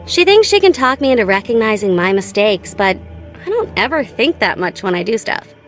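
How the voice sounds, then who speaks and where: A woman's voice: medium pitch, very animated, fairly quick, lightly acted. An American actress voicing a playful, nonchalant young female gun-tech tinkerer in a video game, with a light, girlish, teasing delivery. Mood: matter-of-fact. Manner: teasing, breezy, casual. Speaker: voice actor for a video game character. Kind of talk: scripted game character voice lines. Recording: studio voice over background game music. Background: background music.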